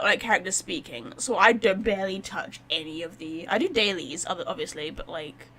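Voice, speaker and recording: A woman chatting casually and excitably as she livestreams a game, rambling to her viewers. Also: on the high side. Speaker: live streamer. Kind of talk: casual game stream chat.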